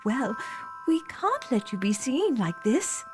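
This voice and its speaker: Lady doing a caring young princess in a kids fairy tale, high pleading voice, music behind. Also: big pitch swings, slow. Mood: worried, decided.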